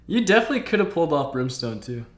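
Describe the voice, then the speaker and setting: A man's voice: a little high, very animated, rapid-fire. A man streamer casually interviewing a guest, informal and agreeable, often repeating himself. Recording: roomy, noisy mic with clicks.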